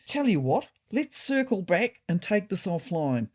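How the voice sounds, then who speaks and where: Woman voicing a loud, bluff cat spin doctor. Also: medium pitch, big pitch swings, unhurried, voice pushed up. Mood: bluff, emphatic. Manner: bluff, corporate buzzword-laden, emphatic. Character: jargon-spouting, self-assured male cat political aide. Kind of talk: audiobook storytelling.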